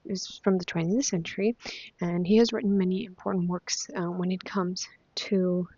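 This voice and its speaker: A woman's voice: lowish voice, fairly even intonation, moderate pace, a little mellow, husky, dry recording, lo-fi sound. A young woman whose first language is Spanish, chatting casually about books she has read, with many fillers and restarts. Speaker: vlogger. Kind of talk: casual book review chat.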